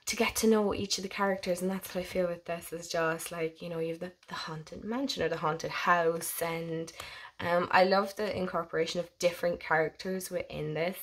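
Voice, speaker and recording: A young woman with a hoarse, cold-roughened voice that sits a little low for a woman. She rambles in an easygoing, gushing way, full of little asides, like a vlogger chatting with her viewers. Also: fairly even intonation, normal speed. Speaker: tarot channel host. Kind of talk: casual show-and-tell vlog.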